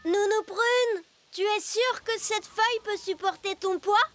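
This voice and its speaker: Young elf boy: cartoon dub, plucky and helpful, very high register, loud score underneath. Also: a little slow, loud music behind the voice. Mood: curious, concerned. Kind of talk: animated children's cartoon dub.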